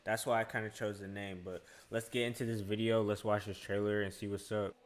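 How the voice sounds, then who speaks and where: A man's voice: on the low side, level tone, brisk, background noise. A young man who grew up in Asia, hosting a casual reaction video and chatting in a laid-back, slangy way. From grew up in Asia (Hong Kong, UAE, India). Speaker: YouTuber reacting to a trailer.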